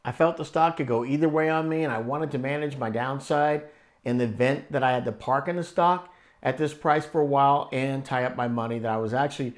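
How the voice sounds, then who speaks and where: A man hosting an investing channel, reading scripted analysis in a measured, explanatory way with conversational asides. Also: medium pitch. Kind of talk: scripted stock analysis narration.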